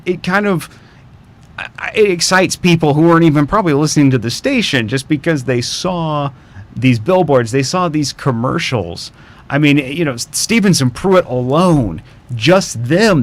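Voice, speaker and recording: A man speaking as an interview guest, telling stories in a casual, detailed, conversational way. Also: mid-range voice. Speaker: interview guest, radio history expert and documentary maker.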